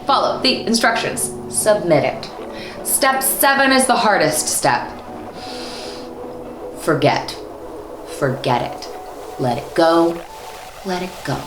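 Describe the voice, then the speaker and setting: A woman's voice: lowish voice, slow, long pauses, reverberant, noisy background. A woman in her thirties, a voice actor, hosting an upbeat instructional vlog to camera from a small room at home and gesturing a lot as she talks. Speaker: voice actor and host.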